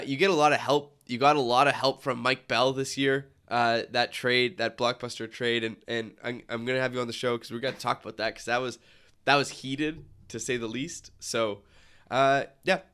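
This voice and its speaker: A man's voice: medium pitch, steady tone, moderate pace, a bit bright, clear, resonant tone. A young man with a cold and a stuffy nose, hosting a casual sports podcast and rambling with many fillers and restarts. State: congested from a cold. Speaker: podcast host.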